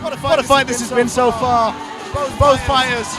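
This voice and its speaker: A man commentating excitedly on a live boxing match, emphatic and repeating his phrases. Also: very high-pitched, normal speed. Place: boxing event, amid the crowd. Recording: loud crowd and music in noisy venue.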